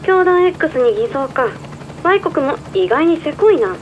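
A cool, businesslike contact played by a woman gives terse reports in a high voice. The radio drama sound is dull and lo-fi with faint noise. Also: animated, a little slow.

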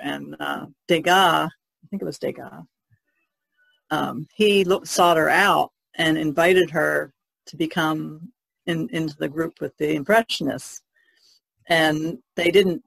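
A woman's voice: deep voice, gravelly, a bit bright, dry recording, poor audio. A woman chatting casually as a podcast panelist, in a hesitant, rambling way. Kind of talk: casual chat.

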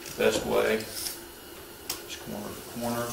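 A man's voice: fairly low pitch, monotone, unhurried, gravelly. A man in his thirties explaining a woodworking build step by step in a plain, practical, instructive way, recorded in a home garage workshop. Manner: practical, instructive, matter-of-fact. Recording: roomy workshop, distant mic, tool noise.

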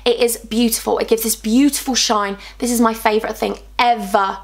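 A woman's voice: fairly high pitch, moderate pace, vocal fry. A young woman, chatting bubbly and gushing in a casual beauty haul vlog, her voice a little worn. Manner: bubbly, gushing, animated with hands. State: slightly losing her voice. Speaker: beauty vlogger. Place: small indoor room with sofa.

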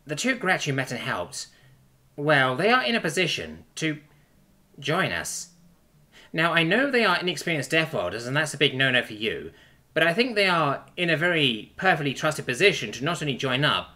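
A man's voice: big pitch swings, normal speed, sharp tone. A man voicing a small, sweet alien princess, nervous and devoted, with polite, earnest phrasing. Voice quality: high, chirpy. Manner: polite, earnest, formal wording.

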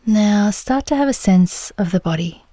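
Female meditation guide: dark, relaxed timbre, soothing unhurried delivery, soft breathy phrase endings, very dry recording. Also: medium pitch, no room sound. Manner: gentle, soothing, unhurried with long pauses.

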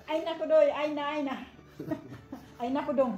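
A woman's voice: high-pitched, fairly even intonation, normal speed, mellow, muffled recording. A woman in her forties from Bohol in the Philippines, with a Visayan Filipino accent, chatting casually to the camera at home and laughing often. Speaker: vlogger. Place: home living room, indoors. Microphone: camera at arm's length, then on a stand.

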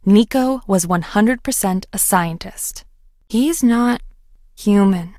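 A woman's voice: medium pitch, slow pace, dark tone, no room sound, studio quality, natural voice. A woman acting a young female research scientist in a video game, conversational, wry and guarded. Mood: wry, reflective.

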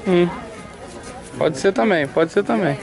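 A man's voice: high-pitched, animated, unhurried, creaky. A man from Brazil giving an upbeat, chatty tour of outlet stores for his vlog, filmed on a handheld camera. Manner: chatty, upbeat, pointing things out. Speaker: vlogger. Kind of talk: casual shopping vlog.

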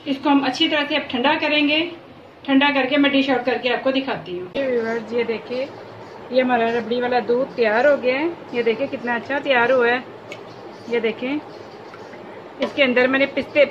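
A woman walks viewers through a recipe in simple, encouraging steps. Her voice sits high and carries room echo from a home kitchen. The sound is muffled, with steady background noise. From Pakistan. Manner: simple, repetitive, encouraging instructions. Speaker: cooking channel host. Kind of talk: home cooking tutorial. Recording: echoey, dull, noisy home recording.

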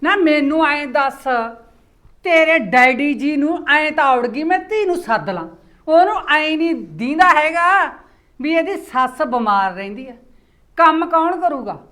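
A woman playing a sharp-tongued, sarcastic mother-in-law in a village family drama. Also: high voice, lively intonation. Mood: scolding. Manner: scolding, sarcastic, rapid complaints.

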